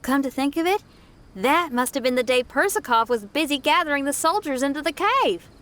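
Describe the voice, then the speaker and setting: A woman's voice: high voice, animated, brisk, fairly bright tone. A woman voicing a brash, impatient young female rocker in a video game dub. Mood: brash, frank.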